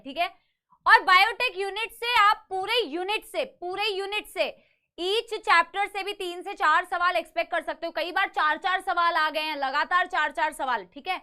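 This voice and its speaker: A woman's voice: very high-pitched, bright, forceful tone. A young woman teaching a live online biology class with a slightly sore throat, who coaxes her students, calls them "beta" and mixes English phrases into her lecture.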